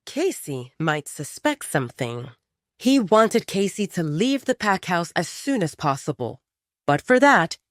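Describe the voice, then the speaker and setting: Female narrator for romantic audio fiction: lowish voice, lively intonation, dry studio sound. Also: no room sound. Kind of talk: scripted romance story narration.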